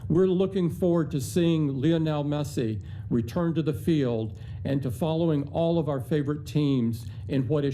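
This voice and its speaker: A man in his sixties presenting quarterly results on an earnings call, measured and upbeat, with some hesitations when answering questions. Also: a little high, dark tone, slight background noise, poor audio. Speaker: company chief executive on an earnings call. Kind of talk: scripted earnings remarks, then unscripted answers.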